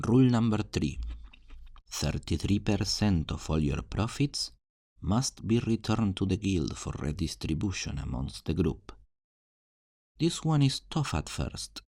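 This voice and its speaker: A man acting a deep-voiced, roguish fantasy thief. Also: warm tone, dry recording, studio quality, heavily acted. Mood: wry instructing. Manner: flirtatious, smooth-talking, theatrical, teasing. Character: charming, roguish fantasy thief recruiting for his guild. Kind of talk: ASMR roleplay, scripted character acting.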